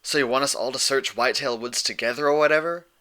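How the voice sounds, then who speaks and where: Storybook role: brash, defensive pegasus mare, blunt and sarcastic, pitched up, sharp-edged male reader performing. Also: fast, natural voice. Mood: sullen, sarcastic. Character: brash, defensive young female pegasus pony, stubborn and hurt.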